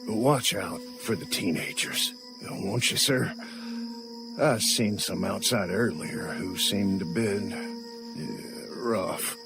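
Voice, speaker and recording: A man voicing a stooped, prematurely graying man who talks in a hushed, uneasy and hesitant way. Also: deep voice, slow, vocal fry, over music, pitched below the performer's own voice, heavily acted. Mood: nervous.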